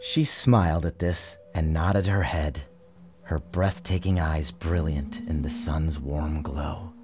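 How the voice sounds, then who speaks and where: A man giving a measured, theatrical storybook narration in an expressive, dramatic style. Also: very deep voice. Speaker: author reading his book aloud. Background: music behind the narration.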